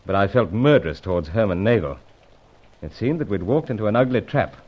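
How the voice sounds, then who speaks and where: Stiff-upper-lip British agent, lowish voice, clipped and understated, old radio adventure with muffled sound. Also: male voice, expressive, fairly quick. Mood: grim, suspenseful. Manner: clipped, understated, old-fashioned gentlemanly speech. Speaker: lead character and narrator. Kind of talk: vintage adventure serial narration and dialogue. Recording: dull vintage radio sound.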